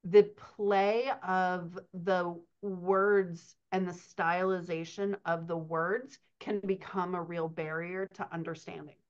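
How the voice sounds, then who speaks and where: A woman in her thirties talks shop as a writing coach on a video call, chatty and quick to laugh. Her voice sits fairly low. The call audio is dull and lo-fi. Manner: chatty, laughs often, animated storytelling. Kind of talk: casual podcast chat over video call. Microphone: computer mic on video call.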